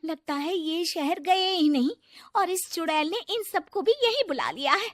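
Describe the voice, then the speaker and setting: A woman's voice: very high voice, lively intonation, normal speed, fairly bright tone, no room sound, clean studio sound, pitched above the performer's own voice. A woman voicing an anxious but feisty village mother in a children's cartoon, urgent and exclaiming. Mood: indignant, determined.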